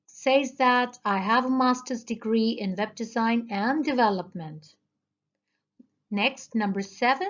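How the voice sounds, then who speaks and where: A woman teacher going over listening test answers with her students, explaining each one slowly and carefully. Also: a little high, fairly bright tone. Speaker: English teacher. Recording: dull lo-fi recording.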